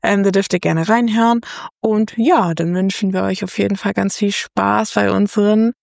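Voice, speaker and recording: A woman's voice: mid-range voice, fairly even intonation, moderate pace, creaky, darkish tone, no echo, clean studio sound. A woman in her thirties hosting a casual podcast chat, talking loosely with many fillers and small laughs. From Germany. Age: 30s. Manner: chatty, many fillers, laughs between phrases. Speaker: podcast host.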